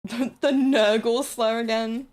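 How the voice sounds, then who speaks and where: A woman's voice: fairly high pitch, steady tone, a little slow, a little mellow. A woman with a New Zealand accent, chatting casually and rambling on a live stream while she builds models, self-deprecating and swearing now and then.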